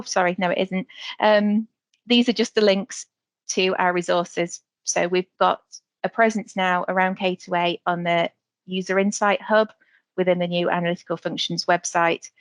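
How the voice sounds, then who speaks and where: A woman presenting slides on a webinar video call, talking quickly with some fillers. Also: on the low side, dry recording. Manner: quick, slightly hurried delivery with fillers.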